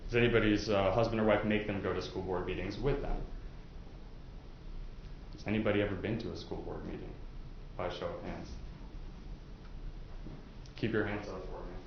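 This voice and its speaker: Low-voiced man in an echoey room. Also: steady tone, normal speed, long pauses. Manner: polite, conversational, hesitant phrasing. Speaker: defence lawyer questioning jurors. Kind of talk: informal courtroom questioning. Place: indoor courtroom. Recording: echoey, dull courtroom audio, faint noise.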